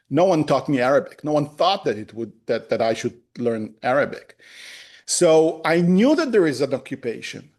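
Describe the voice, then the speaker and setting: A man in his fifties from Israel, a native Hebrew speaker, talking as a guest in an interview, pausing often with fillers and restarts. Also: medium pitch, a little mellow. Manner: hesitant, many fillers and restarts. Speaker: human rights lawyer, guest. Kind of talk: conversational interview.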